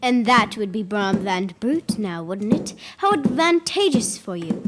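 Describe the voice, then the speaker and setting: A woman's voice: mid-range voice, expressive, a little slow, very noisy, lightly acted. A female actor playing a cautioning village midwife and widow in a school radio play. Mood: chatty, foreboding.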